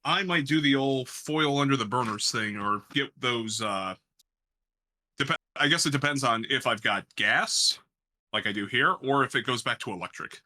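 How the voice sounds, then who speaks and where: A man's voice: mid-range voice, normal speed, pushed and bright. A man who works in radio, cooking along in his home kitchen and chatting in a rambling, self-deprecating way. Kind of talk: casual chat while cooking. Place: home kitchen with gas stove.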